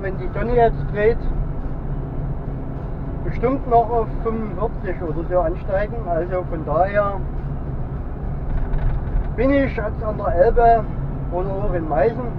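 High-pitched man, tinny car recording. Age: middle-aged. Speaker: vlogger. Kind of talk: casual vlog chat while driving. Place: inside a moving car with air conditioning running. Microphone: camera mounted in the car. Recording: thin phone-like sound, road noise.

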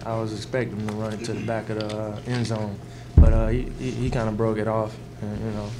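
A young man, a college football player answering questions after a game at a table microphone, speaking casually and haltingly. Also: lowish voice, level tone, background noise. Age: young adult. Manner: casual, halting, laid-back. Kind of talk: postgame press answers. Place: press room before a sponsor backdrop. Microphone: table mic in front.

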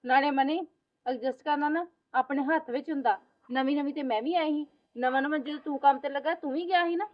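Advising sister-in-law played by a woman, high voice, muffled lo-fi. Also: brisk, close to an everyday voice. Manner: advising, explaining patiently. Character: sensible elder sister-in-law, young married woman.

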